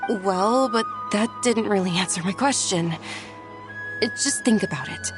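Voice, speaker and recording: An actress playing a gentle, dutiful young noblewoman in a video game, speaking politely and formally with composure. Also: mid-range voice, unhurried, over music, natural voice. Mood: probing. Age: young adult.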